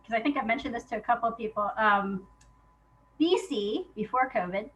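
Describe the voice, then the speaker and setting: A woman's voice: on the high side, brisk, fairly bright tone, rough recording. A woman talking casually in an interview, chatty and self-deprecating in an informal way. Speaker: playwright being interviewed.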